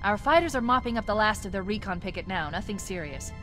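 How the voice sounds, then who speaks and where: A woman acting as a female ship AI in a sci-fi game, mid-pitched and self-assured. Her delivery is crisp and precise with dry wit, set against loud music. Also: expressive, fast, natural voice. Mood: brisk, analytical. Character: witty, confident female ship artificial intelligence. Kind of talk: dramatic video game cutscene acting.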